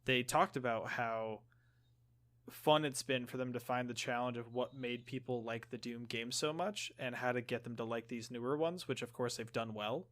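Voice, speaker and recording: A man's voice: medium pitch, moderate pace, a bit bright. A man hosting a podcast in casual conversation, explaining things in a loose, rambling way and steering the talk along. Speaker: podcast host.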